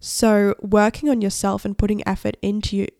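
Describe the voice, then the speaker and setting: A woman's voice: medium pitch, flat delivery, normal speed, a little mellow, no echo, clean studio sound. A young woman in her twenties with a cold, sounding nasal as she hosts her own podcast in chatty, upbeat solo talk. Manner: chatty, upbeat, talks with many likes. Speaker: podcast host. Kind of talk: casual solo podcast chat.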